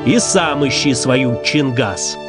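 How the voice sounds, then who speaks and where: A man's voice: mid-range voice, animated, takes it slowly. A man presenting a travel documentary as reporter, reading scripted narration in a storytelling way. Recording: music bed under the voice. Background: music.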